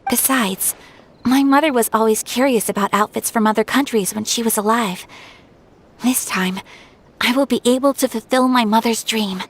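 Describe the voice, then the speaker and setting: A woman playing a gracious, composed young noblewoman swordfighter in a video game, speaking in a polite, formal, gentle way. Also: a little high, moderate pace, husky. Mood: wistful. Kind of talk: video game cutscene dialogue.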